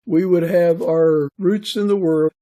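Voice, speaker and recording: A man speaking as a podcast guest in casual, reflective conversation, thoughtful and a little halting. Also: sits high, steady tone, mellow.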